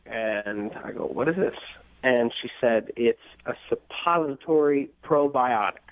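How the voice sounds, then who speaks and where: A man's voice: medium pitch, a little slow, phone-quality sound. An exasperated, incredulous man casually telling a comic story as a guest on a radio phone-in. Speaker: phone-in guest recounting a bad date.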